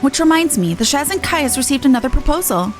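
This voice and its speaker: A dignified, dutiful female leader from a video game, read aloud by a woman. Her high voice carries formal, gracious lines with plenty of inflection over background music. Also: big pitch swings, quick pace. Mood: solemn, dutiful. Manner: formal, composed.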